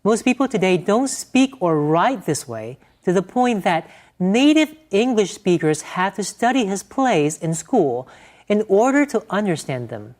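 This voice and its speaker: A woman reading a scripted language segment in an expressive way. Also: mid-range voice, a little slow. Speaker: segment narrator. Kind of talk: scripted narration.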